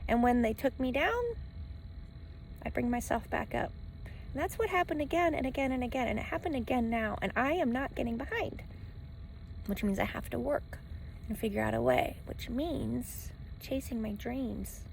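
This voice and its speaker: A woman's voice: fairly high pitch, moderate pace, vocal fry, slight background noise, rough recording. A woman rambling through a personal vlog from inside a parked van, stopping and starting and repeating phrases for emphasis. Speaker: travel vlogger living in a van. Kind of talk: rambling personal vlog, talking to camera and to a dog. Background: faint ocean wash.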